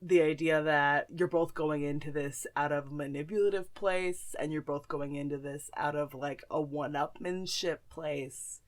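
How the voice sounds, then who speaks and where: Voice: medium pitch, steady tone, normal speed, few pauses. A podcast host in casual fan chat, gushing and slangy, with frequent swearing.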